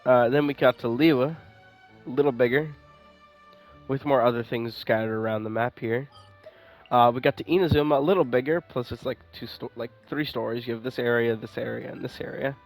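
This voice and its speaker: A man's voice: mid-range voice, steady tone, brisk. A man doing casual, rambling gameplay commentary, thinking aloud and swearing now and then. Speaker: gaming streamer playing and commenting. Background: game music.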